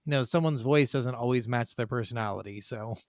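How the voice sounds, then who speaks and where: A man's voice: mid-range voice, brisk, no echo, studio quality. A man hosting a podcast, giving blunt, profane opinions in a casual gaming review chat. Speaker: podcast host.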